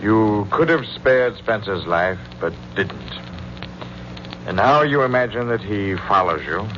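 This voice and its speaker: An actor playing a composed, quietly suspicious doctor, speaking in a measured, analytical way in 1940s radio style. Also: male voice, lowish voice, animated. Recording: muffled, noisy old radio recording.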